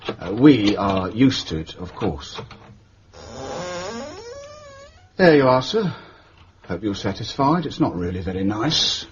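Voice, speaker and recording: An actor playing a stubborn, respectful working-class undertaker in a radio drama, self-justifying and folksy. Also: male voice, fairly low pitch, very animated, unhurried, dull audio, slight background noise. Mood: nervous deference. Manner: deferential, self-justifying, folksy turns of phrase. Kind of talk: British radio drama acting.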